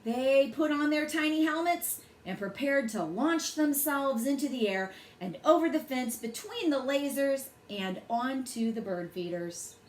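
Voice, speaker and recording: Female children's storyteller: very lively, high-ish pitch, unhurried dramatic delivery, roomy recording. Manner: deliberate, dramatic phrasing for children. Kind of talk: expressive bedtime story read-aloud. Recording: roomy echo, faint background noise.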